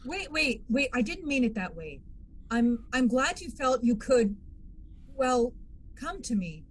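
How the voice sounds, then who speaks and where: A woman's voice: a little high, sing-song, unhurried, breathy edge, exaggerated voice. A woman playing a dry, guarded military legal officer in a science fiction table read. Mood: awkward, regretful.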